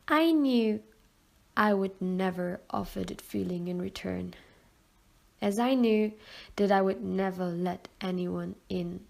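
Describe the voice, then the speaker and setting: A young woman recites slam poetry at a slow, deliberate pace. Her medium-pitched voice often frays into creak. The delivery is earnest and emotional, with dramatic shifts between hushed and forceful phrasing. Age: 20s. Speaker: amateur poet.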